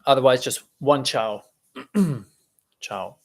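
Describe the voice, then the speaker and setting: A man who is a native Italian speaker, hosting a casual live-stream lesson, talking in a chatty, halting way with many fillers. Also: mid-range voice, gravelly.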